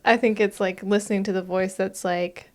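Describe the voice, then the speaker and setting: A young woman co-hosting a podcast, talking in a reflective, soft-spoken way with many fillers. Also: medium pitch, fairly even intonation, moderate pace. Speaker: podcast co-host. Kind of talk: casual chat.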